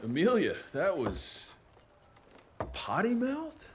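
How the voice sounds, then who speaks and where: Slow-talking wry action hero. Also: male voice, high voice, wildly swooping pitch, background noise, full character voice. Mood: dry, low-key. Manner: dry, wry, unhurried. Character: laconic, wry male vampire hunter hero.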